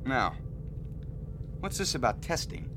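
An actor in a radio drama playing a friendly, confident rebel fighter pilot with a hearty, encouraging way of talking. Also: male voice, medium pitch, big pitch swings, takes it slowly, noisy background. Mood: brisk, explaining.

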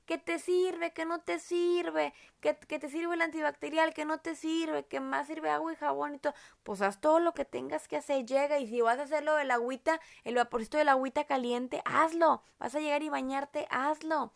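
Woman, Monterrey Mexican accent, podcast host, higher voice with vocal fry, talkative and rambling. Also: steady tone, normal speed. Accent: Monterrey Mexican Spanish. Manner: chatty, rambling, fond of diminutives. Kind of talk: casual podcast chat.

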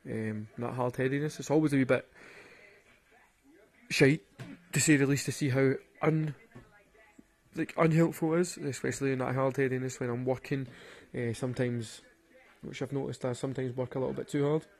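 A man's voice: mid-range voice, fairly even intonation, moderate pace, darkish tone. A man with a Glaswegian Scottish accent, rambling and swearing through casual stories and laughing at his own jokes. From Glasgow, Scotland. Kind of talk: casual storytelling monologue.

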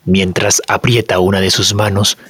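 A man's voice: sits low, flat delivery, moderate pace, breathy edge, no echo, studio quality. A man reading dramatic scripted narration as the narrator of an audio drama. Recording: loud music bed under narration.

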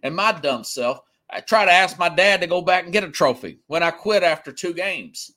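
A male sports talk host in his forties with a fairly high, bright voice. He speaks casually and conversationally, pushing his opinions with emphasis and slipping easily into personal anecdotes. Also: expressive, moderate pace. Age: 40s.

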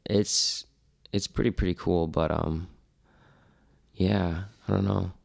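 A man's voice: deep voice, fairly even intonation, slow, vocal fry, darkish tone. A man in his late teens with a Midwestern American accent, chatting loosely as a podcast co-host and letting thoughts trail off. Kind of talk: casual conversation.

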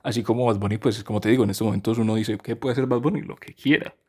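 A man's voice: lowish voice, fairly even intonation, very fast, slightly rough. A man, talking casually and at length about music, rambling with many restarts and fillers. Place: home during a power cut.

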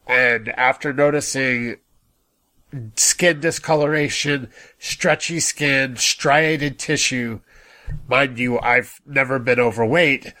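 A man in his late thirties giving a measured personal account on a podcast, in deliberate, orderly phrases. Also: mid-range voice, sharp tone. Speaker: podcast guest sharing personal experience.

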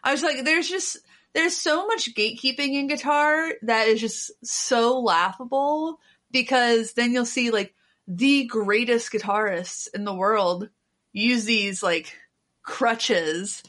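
A woman chatting casually and informally, with animated, mocking asides. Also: a little high, takes it slowly. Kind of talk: casual chat.

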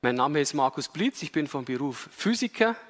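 A man's voice: a little high, sing-song, moderate pace, a bit bright. A man in his fifties with a Bavarian accent with an Austrian tinge, giving an earnest, explanatory public lecture with frequent hesitation sounds. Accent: Bavarian with a slight Austrian tinge. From Bavaria, Germany. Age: 50s. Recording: long reverb of a lecture hall.

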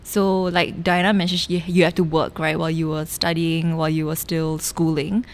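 A woman's voice: deep voice, level tone, moderate pace. A woman, talking candidly in a casual podcast chat and laughing often. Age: mid 20s. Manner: candid and laughing, with many restarts and "like". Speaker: podcast co-host.

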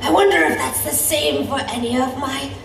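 A woman's voice: high-pitched, normal speed, gravelly, a little hiss or hum. A woman playing a predatory siren sea monster in an audio drama, mocking, haughty and theatrical, savoring her formal words. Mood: taunting, haughty. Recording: roomy, distant voice over music.

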